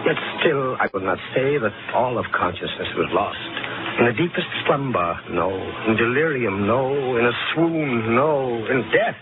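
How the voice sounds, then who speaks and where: An actor playing a defiant condemned French army captain, anguished and feverish, in an impassioned, theatrical radio-drama style. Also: male voice, medium pitch, expressive, a little slow, noisy background, lightly acted. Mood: dazed, haunted. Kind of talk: old-time radio drama acting. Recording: narrow-band vintage radio, music behind.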